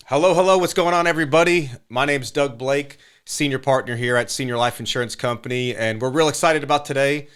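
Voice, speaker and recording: A man hosting a podcast, upbeat and relaxed, with many fillers and repeated words. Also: medium pitch, a little fast, hardly any pauses, clean tone. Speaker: podcast host. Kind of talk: casual interview chat.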